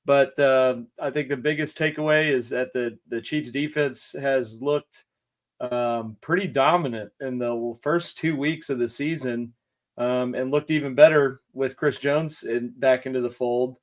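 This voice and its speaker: A man appearing as a guest sports writer on a casual podcast over a video call, measured and hedging with "um" and "you know". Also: medium pitch, steady tone.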